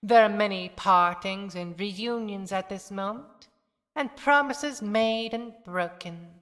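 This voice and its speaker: A woman's voice: mid-range voice, takes it slowly, fairly bright tone. A woman playing a solemn dead townsperson who speaks from the grave in a poetic audio drama monologue, with long reverb. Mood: solemn, intense.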